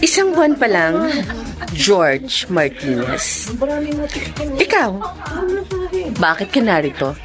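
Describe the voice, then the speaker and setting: An actress playing a bitter, seductive wronged woman in a radio drama, with a drawn-out theatrical delivery. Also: medium pitch, big pitch swings, loud music behind the voice. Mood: sultry, teasing. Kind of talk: Tagalog radio drama acting.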